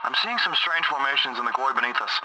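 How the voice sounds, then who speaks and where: An actor playing a puzzled excavation worker reporting haltingly over the radio in a sci-fi audio drama. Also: male voice, mid-range voice, animated, pushed and bright. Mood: uneasy, puzzled. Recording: very noisy, clicking radio transmission.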